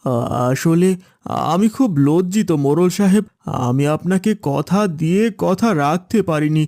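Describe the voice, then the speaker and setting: Humble, anxious village father, high-pitched. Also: animated, measured pace, warm tone. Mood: apologetic. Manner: apologetic, pleading. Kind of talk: Bengali cartoon voice acting.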